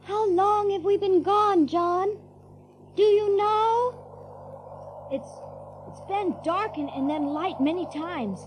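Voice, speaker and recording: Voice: very high voice, takes it slowly, long pauses, a little hiss or hum. An actor in an audio drama playing a young child on a fantasy adventure, eager and theatrical. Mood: uncertain, weary.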